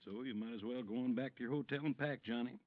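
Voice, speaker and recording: An actor playing a folksy, resigned insurance agent in a 1950s radio drama, with a drawling, hesitant manner. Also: male voice, mid-range voice, fast talker, close to an everyday voice. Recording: dull, noisy old radio recording.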